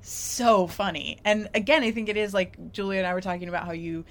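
A woman's voice: mid-range voice, a little fast, fairly bright tone. A woman, chatting casually and animatedly as a podcast co-host, with a giggly manner. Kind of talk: casual chat.

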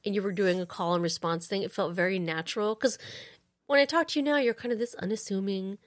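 A woman hosting a casual interview, chatty and quick to laugh. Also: medium pitch. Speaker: podcast host.

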